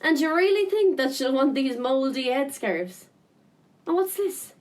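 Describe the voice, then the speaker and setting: A woman's voice: high voice, lively intonation, a little slow. Woman playing a wry woman, off-mic. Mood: wry, curious. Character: wry, nosy woman sorting a relative's belongings. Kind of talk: naturalistic radio drama dialogue. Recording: distant from the mic.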